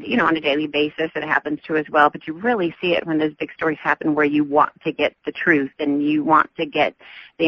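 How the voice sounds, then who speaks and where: A woman from the American South with a softened Southern accent, an entertainment reporter chatting as a guest in a radio interview, upbeat and full of fillers. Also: low-pitched, dry recording, highs cut off. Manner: chatty, upbeat, laughs often, many fillers.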